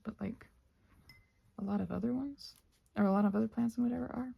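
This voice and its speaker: A woman with a soft, breathy voice, often close to a whisper, musing aloud in a playful, whimsical ASMR ramble as she crafts. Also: a little high.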